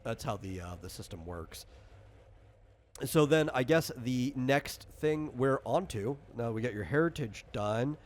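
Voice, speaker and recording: A man's voice: medium pitch, lively intonation, measured pace. A man casually walking a player through a tabletop game as game master, rambling, explanatory and freely opinionated.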